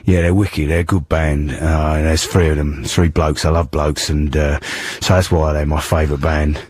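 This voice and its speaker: A man doing a gruff hard-man movie actor, very deep, husky, cold in the throat, dry close sound. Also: level tone, normal speed, darkish tone, no room sound. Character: gruff tough-guy film actor, middle-aged man.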